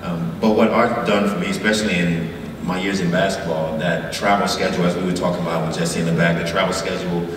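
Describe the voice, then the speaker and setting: A man speaking relaxed and halting as a panel guest on a convention stage. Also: low voice, noisy background. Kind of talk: casual onstage conversation. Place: large convention hall stage. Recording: echoey hall heard from far off.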